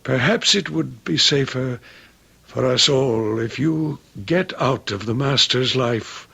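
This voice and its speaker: An actor playing an obsessively devoted ex-commando manservant, middle-aged, deferential and quietly menacing, in a radio mystery drama. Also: male voice, mid-range voice, slow pace, vocal fry, breathy edge, exaggerated voice. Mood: quiet devotion.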